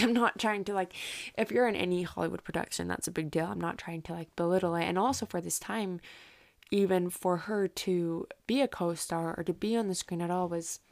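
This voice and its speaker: A woman hosting a solo podcast, telling history in a casual, informal way with rambling asides and self-interruptions. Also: lowish voice. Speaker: podcast host.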